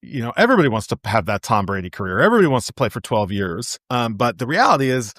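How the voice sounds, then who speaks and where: An American male podcast host with a medium-pitched voice. He interviews conversationally, wandering through his thought and stressing key points. The recording is dry, with little room sound. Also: sing-song, fast talker, fairly bright tone, no echo.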